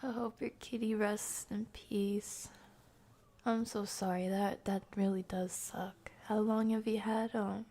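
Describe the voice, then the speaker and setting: A woman hosting a game stream, chatting casually and playfully with viewers in an informal, slangy way, her voice often creaky and sometimes soft. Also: mid-range voice, level tone, a little slow. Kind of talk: casual chat with live viewers.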